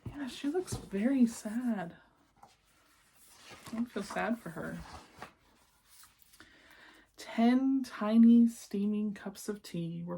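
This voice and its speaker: A woman reading a picture book aloud expressively, with gentle, encouraging asides spoken directly to young listeners. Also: medium pitch, slightly rough. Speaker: children's read-aloud presenter.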